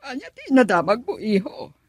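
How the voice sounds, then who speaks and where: Husky, swooping radio drama mother. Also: on the high side, huge pitch swings, normal speed, dry recording, studio quality, heavily acted. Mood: concerned, coaxing. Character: scheming middle-aged mother, doting on her son. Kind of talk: melodramatic radio drama acting.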